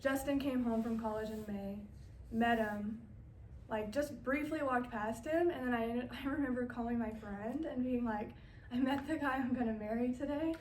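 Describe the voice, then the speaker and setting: A woman's voice: fairly high pitch, moderate pace, darkish tone. A woman, a podcast guest telling a story in a chatty way and laughing as she goes. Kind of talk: casual storytelling. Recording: echoey room, mic at a distance, faint noise.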